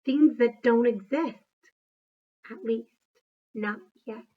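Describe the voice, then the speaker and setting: A woman voicing a thoughtful, gentle male gorilla who tells his storybook tale in a plain, measured way. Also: on the high side, muffled recording. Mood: reflective.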